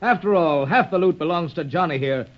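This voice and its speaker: An actor playing a hearty, steady sea captain with salty, emphatic talk in a 1930s radio serial. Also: male voice, fairly high pitch, fairly quick, lightly acted. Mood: hearty, resolute. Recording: muffled vintage 1930s radio recording.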